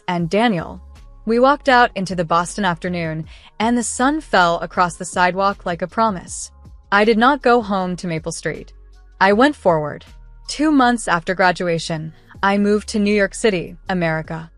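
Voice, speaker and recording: A woman reading a scripted first-person story in a measured, earnest, literary storytelling manner. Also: medium pitch, no room sound. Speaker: narrator.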